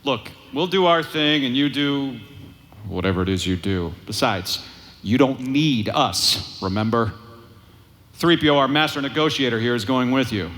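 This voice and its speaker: An actor in a live audio drama playing a cocky, sarcastic smuggler pilot who swaggers and wisecracks. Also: male voice, mid-range voice, lively intonation, moderate pace, a bit bright, lightly acted. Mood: breezy, evasive.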